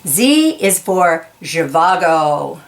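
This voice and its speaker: Slow-paced woman reading to kids. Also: deep voice, very animated, slight background noise. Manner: slow, deliberate, enunciates key words for young listeners; explains in simple, informal words. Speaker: storyteller reading a picture book for kids. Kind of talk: children's read-aloud with explanatory asides.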